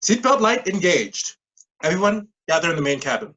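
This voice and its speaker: An upbeat, officious man, played by an actor running a meeting as if he were the pilot. His voice is fairly high and bright. He delivers everything as a formal, firm announcement in a stage play. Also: very animated, normal speed, heavily acted. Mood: chipper, commanding.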